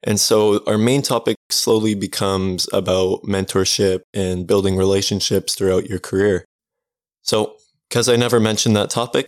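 A young Canadian man hosting a casual podcast, relaxed and rambling with restarts. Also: lowish voice, dry recording, clean studio sound. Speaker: podcast host.

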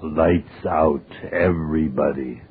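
A man playing an ominous announcer of a radio horror series, intoning solemnly in a drawn-out way. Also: rumbling low, steady tone, loud music behind the voice. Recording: old tinny radio recording.

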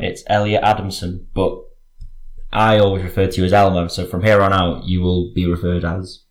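Flat, low male voice in a roomy house. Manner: easygoing, chatty, with fillers. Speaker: podcast host. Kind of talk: casual chat with a friend. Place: friend's house, indoors. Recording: roomy home recording.

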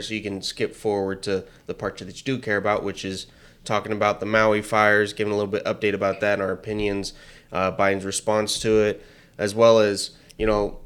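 Guy hosting a podcast, kinda high voice, talks fast in bursts, lots of restarts. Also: clear, resonant tone. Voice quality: higher voice than his co-host. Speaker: podcast co-host. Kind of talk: casual political podcast chat. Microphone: headset mic with headphones.

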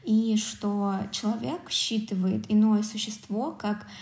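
A woman with a soft, dark tone speaks in a noticeably reverberant room. She co-hosts a podcast, laying out her analysis in a measured way. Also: medium pitch, vocal fry. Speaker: podcast co-host. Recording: roomy, echoing sound.

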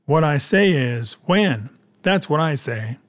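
A man voicing a surly, impatient pirate coxswain with growling, rough sailor speech in an adventure audiobook. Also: a little high, expressive, takes it slowly. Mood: grudging, impatient.

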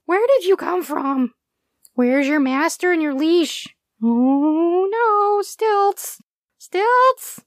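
A woman voicing a small, excitable male dog who talks big to seem tough in a children's storybook. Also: drawn-out words, no echo, clean studio sound, full character voice. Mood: eager. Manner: barking bravado, high and eager.

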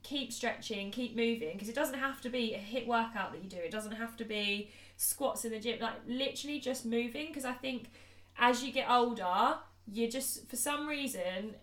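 A British woman with a fairly high voice talks in an echoey room. She is a fitness coach in a casual podcast conversation, chatty and earnest, with informal phrasing. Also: a little fast, a bit bright. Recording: roomy, echoey room sound.

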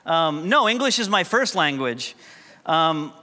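High bright-voiced New preacher. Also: male voice, sing-song, unhurried. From New York. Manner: conversational, humorous storytelling. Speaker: pastor. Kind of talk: sermon. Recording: long hall reverb.

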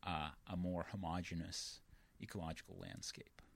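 A man's voice: low-pitched, fairly even intonation, moderate pace, gravelly. A man, a guest on a podcast, explaining ideas patiently and often checking in with "right?" and "you know". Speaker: guest researcher. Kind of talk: explanatory interview talk.

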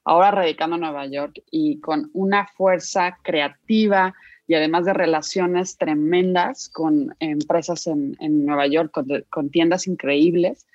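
A woman's voice: sits low, dry recording, lo-fi sound. A woman from Mexico with a Mexican accent, co-hosting a podcast and introducing a guest with gushing praise. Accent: Mexican Spanish. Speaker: podcast co-host. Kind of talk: enthusiastic introduction.

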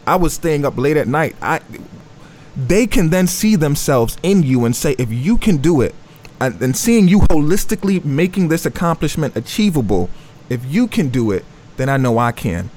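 A man's voice: on the high side, big pitch swings, moderate pace, darkish tone. A man hosting a panel talk, reflective and affirming as he sums up his guests' points. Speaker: host.

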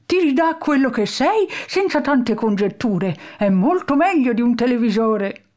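A woman's voice: fairly high pitch, very animated, a little slow, a little mellow, pitched above the performer's own voice. A woman voicing an eccentric, boisterous old toothless man who lives in a junkyard, in a storybook reading. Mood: jovial. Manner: boisterous, rhyming, exclaiming.

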